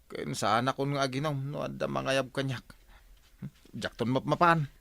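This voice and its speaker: A meek, mumbling Ilocano husband, hesitant and prone to stammering. The lines come in low, muttered radio-drama style, as from someone used to losing every argument at home. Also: male voice, fairly even intonation, normal speed, creaky, sharp tone, no room sound. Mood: meek, grumbling. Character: meek, hesitant henpecked husband who drinks with friends.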